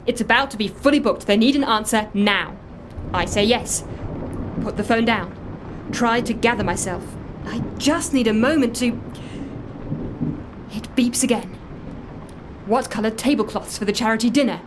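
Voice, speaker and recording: A woman's voice: fairly high pitch, normal speed, long pauses, noisy background, natural voice. An actress playing an anxious, self-justifying woman in an audio drama, telling her story in a fussy, emphatic, defensive way. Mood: harried, defensive. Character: anxious, self-justifying woman confessing impersonation, earnest dog lover. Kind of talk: audio drama dialogue, first-person confession.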